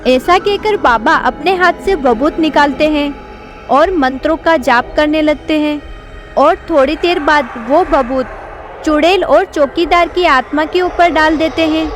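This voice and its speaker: A woman's voice: sits high, unhurried. A woman narrating a scripted horror story. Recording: background music.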